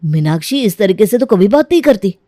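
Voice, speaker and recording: A man's voice: sits high, sing-song, a little fast, warm tone, no room sound, studio quality. A man playing a mild, affectionate young son and husband in a cartoon family story. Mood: concerned.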